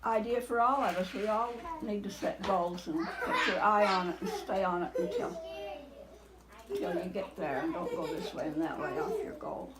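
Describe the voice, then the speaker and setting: An older woman reminiscing casually with family in an unhurried storytelling manner. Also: lowish voice. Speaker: family elder sharing memories.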